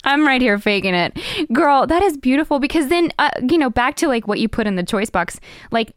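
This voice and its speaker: A woman's voice: high voice, expressive, fairly quick, dry recording, studio quality. A woman co-hosting a podcast in casual, enthusiastic chat, gushing and slangy as she talks. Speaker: podcast co-host.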